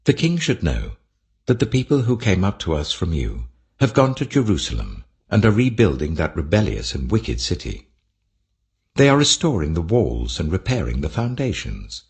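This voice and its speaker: Ancient court official for scripture audiobook, read by a man: British, deep, formal, insistent, dramatically inflected. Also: huge pitch swings, moderate pace, a little mellow, heavily acted. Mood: urgent warning. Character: formal Persian officials earnestly warning their king.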